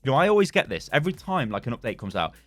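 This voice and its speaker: A man's voice: medium pitch, big pitch swings, very fast, no echo, soft background music. A young British man chatting casually as a gaming YouTuber, rambling and laughing as he reads and reacts to forum posts. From Britain.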